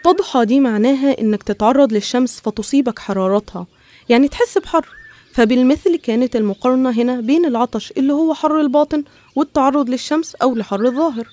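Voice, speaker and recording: A woman narrator with an Egyptian accent tells and explains a scripted animated story. Her voice is fairly high and mellow, and she moves along quickly.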